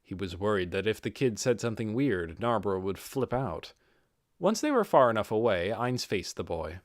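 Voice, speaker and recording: Expressive male audiobook narrator, dry sound. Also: lowish voice, moderate pace, no echo. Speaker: audiobook reader. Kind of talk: scripted fantasy audiobook narration.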